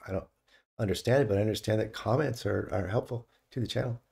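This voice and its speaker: Middle-aged American male host, dark husky voice, casual rambling monologue, home condenser mic. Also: lowish voice. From United States. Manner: rambling, opinionated, restarts often. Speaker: channel host. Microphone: studio condenser mic on stand.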